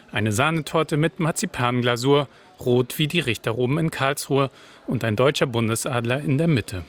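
A male reporter reading a scripted news report in an even, measured way. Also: on the high side.

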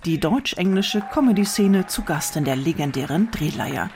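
A woman's voice: lowish voice, lively intonation, normal speed, a little mellow, slight background noise. A woman reporter reading scripted narration for a radio feature.

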